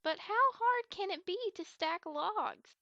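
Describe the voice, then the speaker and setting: A woman's voice: very high-pitched, lively intonation, measured pace, gravelly, pushed and bright, dry recording, heavily acted. A woman voicing a curious, sweet little filly in a fan audio drama, childlike and inquisitive, on a slightly dull recording.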